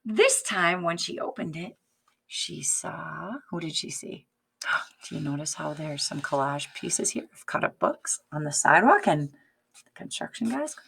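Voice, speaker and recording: A woman reading a picture book aloud like a teacher, expressive and instructive, addressing young children with questions. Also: low-pitched, unhurried, gravelly, husky.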